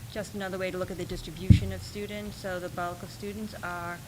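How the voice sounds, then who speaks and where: A soft-spoken woman, a school principal, explaining schedules and budget requests at a meeting, with frequent pauses and ums. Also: on the low side, flat delivery, heavy background noise. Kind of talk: presenting at a school board meeting.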